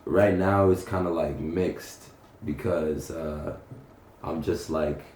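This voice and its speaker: A young man from North Carolina chatting casually as an interviewed rapper, slangy, profane and halting. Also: extremely low pitch, steady tone, darkish tone. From North Carolina, United States. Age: young adult. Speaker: punk rapper being interviewed. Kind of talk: casual chat. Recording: roomy echo, faint background noise.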